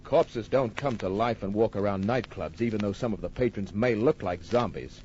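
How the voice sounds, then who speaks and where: An actor playing a wry, tough private detective narrating his case in an old-time radio drama, deadpan and wisecracking. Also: lowish voice, moderate pace, a little hiss or hum, natural voice. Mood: wry, matter-of-fact. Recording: muffled old radio recording with music.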